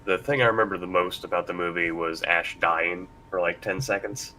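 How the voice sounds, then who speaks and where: A man's voice: fairly even intonation, normal speed, crowd noise, low-quality recording. A man in his thirties sharing memories in a casual chat as a panelist. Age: 30s. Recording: faint low rumble behind voice.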